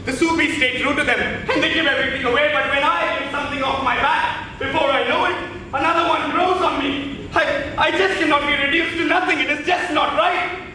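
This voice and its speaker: A man's voice: very high voice, steady tone, a little fast, bright, forceful tone, slight background noise, full character voice. A man playing an earnest, pious, troubled young crown prince in a stage play, speaking in a pleading, theatrical way. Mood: anguished, impassioned. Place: indoor theatre stage, distant mic. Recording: echoey theatre stage from far off.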